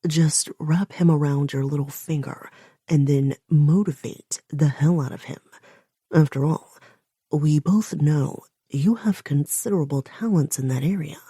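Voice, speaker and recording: A woman's voice: low voice, measured pace, mellow, husky, dry recording, clean studio sound, voice pushed down. A woman voicing a slick, menacing male blackmailer who purrs his threats in a half-whisper.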